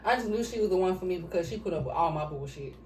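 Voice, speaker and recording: A woman's voice: lowish voice, expressive, racing, a little mellow. A blunt woman talks casually between bites and swears freely. Her voice rings in a roomy space, picked up from a little way off mic with a faint hiss underneath. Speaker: vlogger answering couple questions. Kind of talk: casual mukbang chat. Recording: echoey room, mic at a distance, faint hiss.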